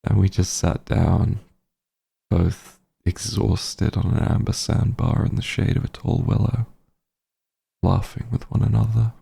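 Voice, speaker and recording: A man as a gravelly, low-voiced tender monster hunter. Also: fairly even intonation, full character voice. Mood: wistful, reminiscing. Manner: halting, emotional, gentle. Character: werewolf hunter, tender and remorseful, reunited with lost friend. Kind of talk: roleplay audio drama, intimate monologue to listener.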